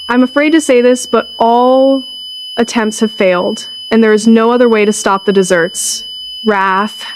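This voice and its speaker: A woman playing a solemn government announcer, reading a scripted doomsday emergency broadcast in a formal, measured way. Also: mid-range voice, background noise, natural voice.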